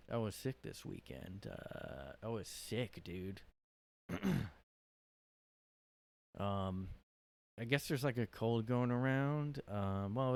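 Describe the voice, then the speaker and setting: A man's voice: lowish voice, a little mellow. A man hosting a casual solo podcast, rambling with lots of fillers and sounding worn out after a cold. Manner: rambling, hesitant, many fillers. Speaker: podcast host. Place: his own room.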